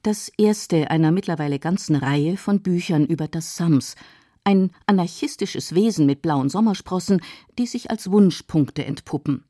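A woman's voice: lowish voice, moderate pace, mellow, no echo, clean studio sound. A woman reading scripted narration for a radio feature.

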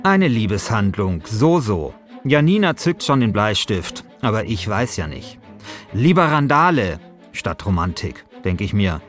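A man's voice: fairly low pitch, sing-song, measured pace, faint music behind. A man hosting a podcast, reading scripted narration in a wry, tongue-in-cheek manner.

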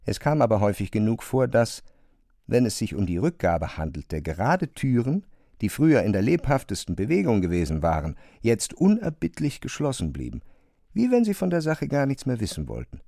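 A man narrating a literary radio drama in a measured, precise way, as a composed observer. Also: mid-range voice, wildly swooping pitch, gravelly, mellow, dry recording, studio quality. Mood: measured, observant. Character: male narrator of an absurd bureaucratic tale, observant and composed.

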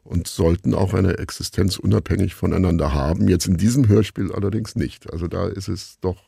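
A man's voice: low-pitched, steady tone, brisk, creaky, warm tone, husky, no echo, clean studio sound. A man being interviewed as a radio play maker, thinking aloud in a reflective, discursive way.

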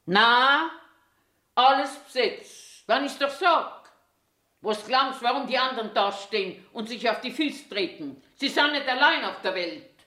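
A woman's voice: a little high, animated, measured pace, pushed and bright. A woman in her eighties with a Bavarian accent, playing a cranky, eccentric old washroom attendant grumbling to herself in a theatrical monologue. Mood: grumpy, defiant. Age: 80s. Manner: grumbling self-talk, swinging between scolding and muttering. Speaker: actor.

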